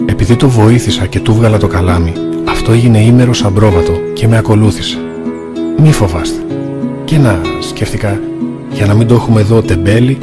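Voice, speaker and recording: Male actor as old abbot, low pitch, gentle authority, calm fatherly delivery, animated film, music behind. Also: natural voice. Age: older. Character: gentle, firm old abbot of a desert monastery. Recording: music under the voice.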